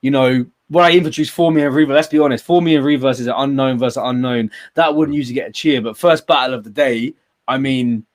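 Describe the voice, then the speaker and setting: A man's voice: mid-range voice, steady tone, fast talker. A young man hosting a battle rap livestream, talking casually and animatedly, swearing freely and laughing often. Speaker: host of a battle rap stream.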